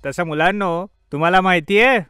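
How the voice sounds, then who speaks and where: A man voicing a boastful, jovial middle-aged man in a children's cartoon, bragging and animated. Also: high voice, brisk, clear, resonant tone, soft background music. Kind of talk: children's cartoon story dub.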